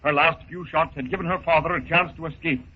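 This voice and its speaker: Vintage radio serial narrator, tinny sound. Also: male voice, on the high side, fast talker, breathy edge. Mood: dramatic, suspenseful. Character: grave storyteller of a jungle adventure serial. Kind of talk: scripted 1930s radio narration. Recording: tinny, hissy 1930s radio recording.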